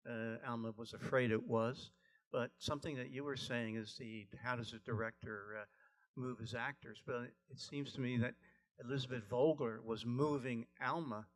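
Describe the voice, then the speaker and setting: A man's voice: mid-range voice, steady tone, a little slow, low-quality recording. A man with a mid-range voice puts a question from the audience. He speaks off the cuff, tentatively, searching for the right words as he goes. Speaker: audience member asking a question. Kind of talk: unscripted spoken question.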